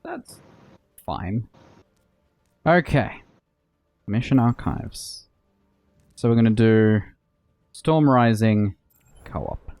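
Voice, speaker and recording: Animated, unhurried man chatting on stream. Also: mid-range voice, dry recording. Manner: chatty, greets viewers and friends. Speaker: game streamer hosting a multiplayer session. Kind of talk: casual livestream chat. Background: background music.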